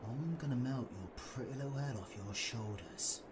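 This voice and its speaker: A man playing a cocky, menacing, flirtatious British supervillain in an intimate roleplay audio drama, breathy and taunting. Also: medium pitch, normal speed, noisy background, close to an everyday voice. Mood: threatening. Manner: taunting, breathy, swings between whispering and shouting.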